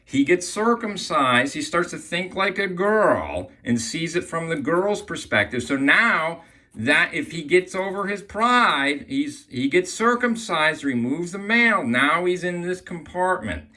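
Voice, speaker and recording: A man's voice: a little high, lively intonation, unhurried, pushed and bright. A man giving an informal explanatory lesson as an amateur Bible teacher, often checking in with the listener. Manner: repeatedly checks in with "okay?".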